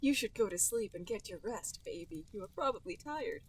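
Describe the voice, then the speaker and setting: A woman's voice: on the high side, animated, a little fast, husky. A woman voicing a tired, tearful young cartoon bat mother. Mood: soothing.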